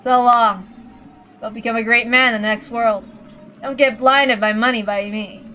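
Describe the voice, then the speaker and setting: A woman voicing a villainous uncle in a visual novel, casual and calm, with a mockingly kind manner. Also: a little high, moderate pace, soft background music. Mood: mocking, resigned. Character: cold, joking, villainous uncle with a gun. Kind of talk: dramatic visual novel character reading.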